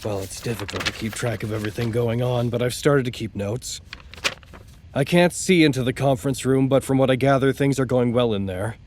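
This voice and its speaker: A man's voice: medium pitch, brisk, noisy background, close to an everyday voice. An actor playing a wry, anxious male facility officer in an audio drama, with formal, articulate speech. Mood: matter-of-fact.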